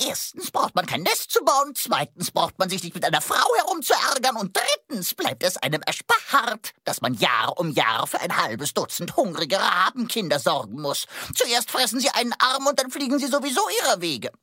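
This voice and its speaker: A grumbling bachelor raven from a children's audio play, harsh and bright. It lectures smugly with a rolled R and a lively, swooping delivery. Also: female voice, medium pitch, moderate pace, hardly any pauses, breathy edge, dry recording, clean studio sound, exaggerated voice. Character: grumbling talking raven, a contented confirmed bachelor. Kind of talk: children's audio drama acting.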